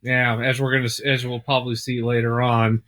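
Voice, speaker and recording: A man in casual podcast chat with a middle-pitched voice. He jokes around and often restarts his sentences midway. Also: level tone, brisk, a bit bright. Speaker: podcast co-host.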